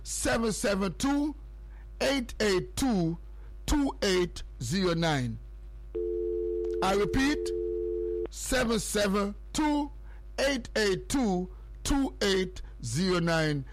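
A man's voice: sits high, sing-song, slow, pauses often, poor audio. A man with a Jamaican accent hosting a morning radio show, repeating phrases and numbers over and over to hype his listeners. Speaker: radio host.

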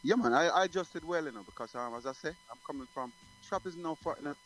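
Rambling relaxed male singer, quick. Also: fairly high pitch, faint music behind, rough recording. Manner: relaxed, rambling with many restarts. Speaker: guest singer. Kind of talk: casual interview.

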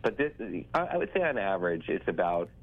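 A man's voice: deep voice, big pitch swings, fairly quick. Stuffy-nosed man on a phone line. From northeast United States. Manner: chatty, repeats himself, salesy. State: congested, stuffed up. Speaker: attorney calling in to radio. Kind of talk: radio call-in chat.

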